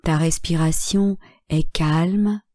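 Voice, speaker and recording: Female meditation guide, soft-edged mellow tone, dry close sound, measured and calm delivery of short relaxation cues. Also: sits low, no room sound. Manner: calm, measured, instructing in short phrases with long pauses. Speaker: yoga nidra instructor.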